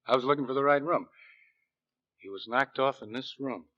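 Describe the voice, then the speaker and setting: A man's voice: medium pitch, normal speed, pushed and bright, no echo. An American man playing a shifty army sergeant in a 1940s radio drama, speaking in slangy, hesitant, evasive phrases. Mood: nervous, evasive. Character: shifty, nervous army sergeant dodging questions. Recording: dull, dry 1940s radio recording.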